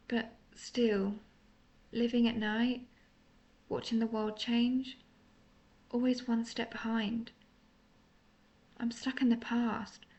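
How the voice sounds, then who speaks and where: A woman voicing a tender, melancholy centuries-old vampire lover in an intimate audio roleplay, speaking softly and confessionally, at times nearly whispering. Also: on the high side. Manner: soft, confessional, partly whispered.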